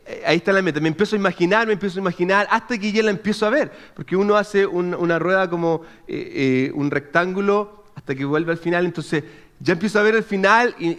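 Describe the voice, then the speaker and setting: A man in his thirties from Chile with a Chilean accent, preaching in a chatty, animated way and checking in with his listeners as he tells a personal story. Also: sits high. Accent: Chilean Spanish. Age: 30s. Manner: chatty and animated, frequent restarts and "¿ok?" check-ins. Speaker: pastor.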